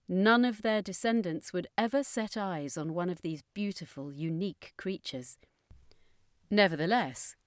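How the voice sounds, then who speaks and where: A woman with a fairly low voice tells a fantasy story as scripted narration. The sound is dry, with very little room around it. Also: dry recording.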